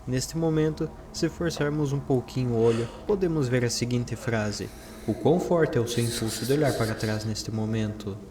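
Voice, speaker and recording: A man's voice: mid-range voice, normal speed, noisy background. A man giving scripted, documentary-style narration for a horror video. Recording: prominent music under the voice. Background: music.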